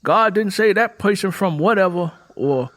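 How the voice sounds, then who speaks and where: A man's voice: sits high, lively intonation, moderate pace, a bit bright, pitched above the performer's own voice. A man voicing a bitter, agitated field laborer with a Southern accent, emphatic and grim, in an audiobook. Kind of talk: audiobook character voice.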